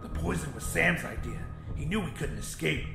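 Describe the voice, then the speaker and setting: A man's voice: medium pitch, sing-song, moderate pace, vocal fry, echoey room, music in the background. A man voicing a ghoulish, mutilated young avenger in an audiobook, with damaged vocal cords and a sardonic, defiant manner. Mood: bitterly proud. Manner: sardonic, casual swearing. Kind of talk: audiobook character voice.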